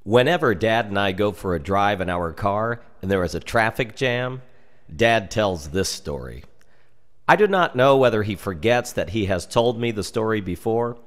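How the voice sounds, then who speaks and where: An American man reading a darkly humorous story aloud in an expressive, measured and dramatic storytelling manner. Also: lowish voice. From United States. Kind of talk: expressive scripted narration of a humorous dark tale.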